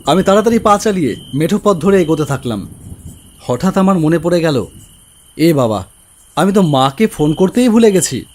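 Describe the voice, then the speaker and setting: A man narrates a cartoon horror tale in the first person. His voice is on the high side and his delivery is scripted and dramatic. Faint night insects and rain lie behind it. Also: very animated, moderate pace, long pauses, a little hiss or hum. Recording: faint crickets and rain ambience.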